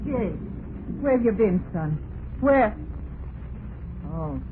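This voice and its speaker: A woman's voice: mid-range voice, lively intonation, slow pace, narrow, tinny audio. An actress playing a stern, suspicious older mother-in-law in a vintage radio drama, with crusty, rustic, scolding speech. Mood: shocked, disapproving. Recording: noisy, band-limited vintage radio recording.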